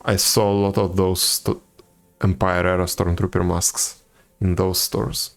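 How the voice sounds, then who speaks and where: Man, game streamer, lowish pitch, creaky, casual chat, low-key halting delivery. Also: flat delivery, faint music behind.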